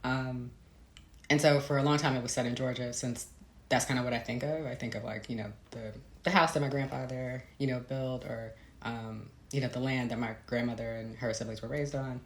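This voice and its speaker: An American woman from the Midwest being interviewed as an author, explaining conversationally with hesitant pauses and hedges. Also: very deep voice, fairly even intonation. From Midwestern United States. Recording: roomy echo, faint background noise.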